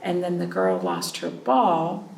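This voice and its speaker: Female storytime host, lively intonation, some vocal fry, question-and-pause delivery for little ones; distant mic with faint noise. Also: lowish voice, roomy sound. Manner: prompting listeners with questions and pauses; expressive, drawn-out reading of story phrases. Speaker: children's librarian hosting storytime. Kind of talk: read-aloud storytime for young children.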